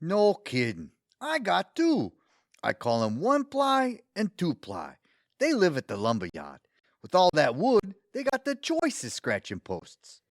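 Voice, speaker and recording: A hugely expressive, highish male voice from an actor playing an easygoing suitor in a radio play. He is smitten, folksy and gently teasing, with swooping pitch in a dry recording. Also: a little slow, dry recording, full character voice. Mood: cheerful, teasing. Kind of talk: audio theatre acting.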